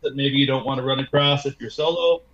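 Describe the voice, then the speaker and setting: A man's voice: mid-range voice, fairly even intonation, quick pace, crowd noise. A man chatting casually as a podcast guest over a video call, with laid-back, slangy phrasing about his own experiences. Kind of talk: casual travel chat. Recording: dull lo-fi video call audio.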